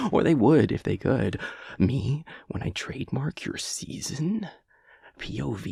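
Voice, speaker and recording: A man playing a chaotic, playful gremlin boyfriend in an intimate comedic audio roleplay, theatrical and rambling, with a husky, breathy, creaky voice. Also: fairly high pitch, no echo, clean studio sound, full character voice. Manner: theatrical, rambling, mock-grandiose wordplay.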